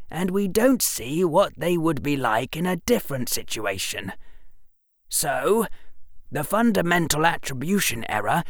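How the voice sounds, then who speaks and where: A man's voice: fairly high pitch, gravelly, no echo, clean studio sound, voice pushed up, full character voice. A man voicing an earnest, bookish young boy who lectures articulately with some exasperation, in an audiobook story.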